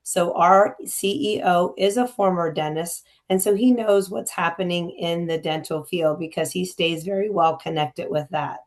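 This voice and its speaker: A woman insurance representative with a raspy voice today, speaking in a friendly, chatty way on a video call. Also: lowish voice, normal speed, hardly any pauses.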